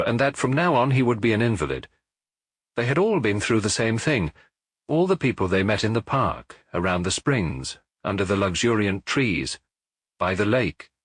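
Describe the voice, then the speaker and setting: A man reading a novel aloud as an audiobook narrator, in an expressive, measured storytelling manner. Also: lowish voice, slightly rough, no echo.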